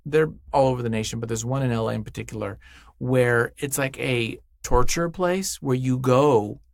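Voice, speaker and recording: A man's voice: medium pitch, normal speed, gravelly, no room sound, studio quality. A man chatting casually as an interview guest, telling things in a rambling way and acting out imagined quotes. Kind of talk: casual chat.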